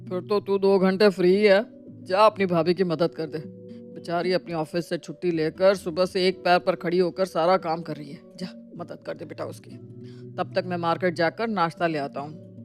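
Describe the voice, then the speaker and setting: A man plays a wise, affectionate father in a cartoon family tale. His voice is notably high for a man, gentle yet firm, over light music. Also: fairly even intonation, moderate pace. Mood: firm, caring. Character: wise, fair, affectionate father-in-law, middle-aged or older. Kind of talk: animated Hindi moral story acting.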